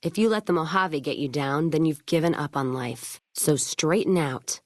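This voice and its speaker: A woman's voice: sits low, moderate pace, no room sound, studio quality, natural voice. A woman playing a tough, hard-drinking, world-weary caravan owner in a video game, blunt, sardonic and foul-mouthed. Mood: stern, blunt. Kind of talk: video game character dialogue.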